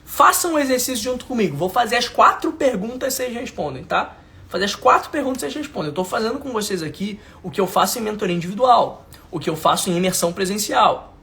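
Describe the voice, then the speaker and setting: Emphatic high-voiced Brazilian man teaching. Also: animated, quick pace, gravelly, sharp tone. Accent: Brazilian Portuguese. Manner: emphatic, repeats lines, asks the audience if it makes sense; informal slang, talks to viewers directly. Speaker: mentor teaching a class. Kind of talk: live online class lecture.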